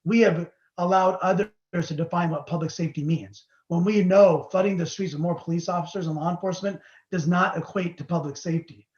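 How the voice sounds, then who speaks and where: A man's voice: high voice, fairly even intonation, a little fast, darkish tone. Man, state legislator, earnest urgent speech, echoey room, dull video call sound. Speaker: state assembly member.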